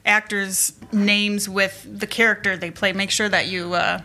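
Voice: medium pitch, flat delivery, measured pace, bright, forceful tone. A podcast co-host chatting casually, laughing and teasing.

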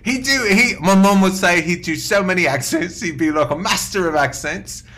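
A man acting meek, stammering Steven, higher-voiced. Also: bright, forceful tone. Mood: fond, animated. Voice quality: halting, stammering. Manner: meek, apologetic, hesitant. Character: Steven, timid, wounded therapy client with childlike sadness. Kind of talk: improvised comedic character acting.

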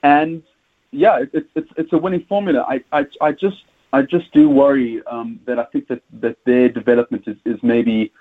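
A man's voice: medium pitch, steady tone. South African man on a tinny phone line. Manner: halting, many repeated words and fillers. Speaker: rugby pundit guest. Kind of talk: casual interview chat.

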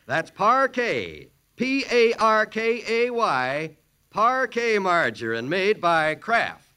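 A man's voice: high voice, big pitch swings, slow pace, pushed and bright, smooth. A man announcing and reading ads in a polished, emphatic 1940s radio style.

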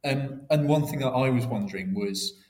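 A man's voice: medium pitch, normal speed, darkish tone. A man interviewing a guest, asking polite and hesitant questions.

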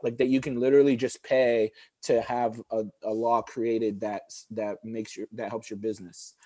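A man's voice: medium pitch, little pitch movement, normal speed, warm tone, no echo. A man in his forties, born in Egypt and raised speaking Arabic first, talks earnestly as a podcast guest. He often breaks off and restarts his sentences while explaining his views. His voice is recorded dry and close, with no room sound. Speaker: guest, juice bar founder. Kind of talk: podcast conversation.

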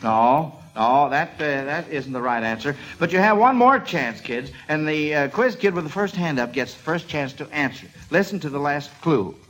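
A man hosts a vintage radio quiz in a fairly high voice. He addresses children like a class, pausing and hesitating between phrases. It sounds like an old broadcast. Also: animated, a bit bright, low-quality recording. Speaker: quiz show host.

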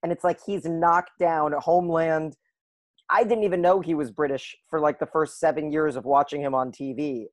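A man's voice: on the high side, fairly even intonation, normal speed, no echo. A man from the United States co-hosting a casual chat, talking eagerly and trailing off in search of names. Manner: chatty, enthusiastic about TV shows.

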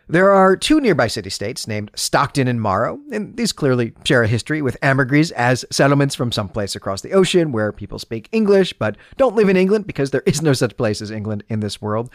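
A man's voice: a little high, no echo, studio quality. A man hosting a book club podcast, talking in a discursive, explanatory way with self-aware asides. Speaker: podcast host. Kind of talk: book club podcast commentary, semi-scripted.

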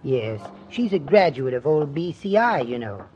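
An actor playing a meek, mild, henpecked little husband in a polite, fussy manner for a 1950s radio comedy. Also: male voice, on the high side, big pitch swings, moderate pace, a little mellow. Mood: mildly proud. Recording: dull old radio recording, faint hiss.

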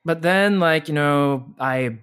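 A man's voice: fairly high pitch, slow pace, smooth. A man who works as a voice actor and voice teacher, talking energetically in a casual interview with many restarts. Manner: energetic and animated, with frequent restarts.

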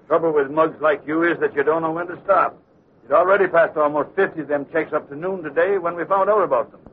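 An actor playing a tough, scolding, slangy police officer in a 1940s radio drama. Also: male voice, a little high, quick pace, natural voice. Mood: stern. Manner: forceful, slangy. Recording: dull noisy old radio, crowded effects.

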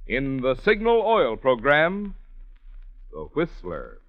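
A man's voice: mid-range voice, wildly swooping pitch, takes it slowly. A man announcing a mystery program in scripted, dramatic 1940s radio style. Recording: tinny vintage radio recording.

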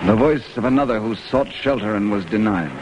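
A man's voice: on the low side, fairly quick, close to an everyday voice. An actor playing a kindly, righteous ship's captain with a fatherly, oratorical manner in an old radio drama. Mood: solemn. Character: kindly, righteous middle-aged ship's captain, protective and grave. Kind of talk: 1940s radio acting. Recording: old radio recording, faint noise.

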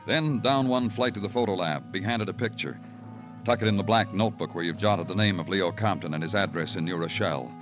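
An actor playing a terse, weary American police detective narrating his case in a 1950s radio crime drama, clipped and deadpan. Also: low voice, brisk, natural voice. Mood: brooding, matter-of-fact. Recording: old radio recording with music.

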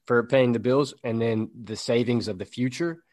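A man's voice: medium pitch, normal speed, a little mellow. A man in his late twenties talks with an ordinary mid-range voice. He chats casually and earnestly, often repeating his words and swearing here and there. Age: 20s. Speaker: podcast co-host. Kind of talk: casual chat.

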